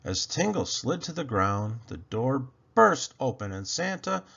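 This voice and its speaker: A man narrates a children's story at a slow, deliberate pace. His pitch moves widely for drama, with some creak in the voice. The recording is slightly muffled. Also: big pitch swings, fairly bright tone. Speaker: storybook narrator, channel host. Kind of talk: expressive children's read-aloud.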